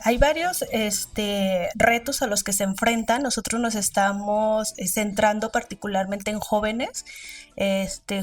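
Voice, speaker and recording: A woman with a Mexican accent speaks as an academic researcher in an interview. She explains her points through voiced examples. Her voice sits at a medium pitch, a little off the mic, with music behind it. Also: recorded from a distance.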